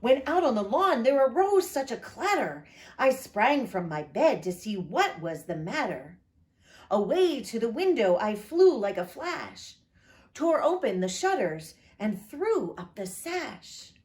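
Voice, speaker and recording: Slow, expressive woman pastor reading aloud. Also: fairly high pitch. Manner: expressive, lilting read-aloud delivery; friendly direct address to listeners.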